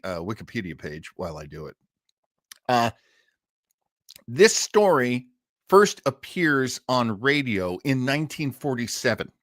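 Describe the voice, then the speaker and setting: A man's voice: medium pitch, fairly bright tone, no room sound, clean studio sound. A man hosting an old-time radio show, chatting casually and enthusiastically as he introduces a story in a rambling way.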